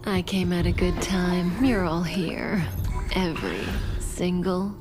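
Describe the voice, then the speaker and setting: Slow, coy, low-voiced outlaw. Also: female voice, fairly even intonation, music in the background. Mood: coy, amused. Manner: smooth, coy, deliberate. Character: suave, teasing female villainous outlaw, unhurried and self-assured. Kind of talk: video game voice acting.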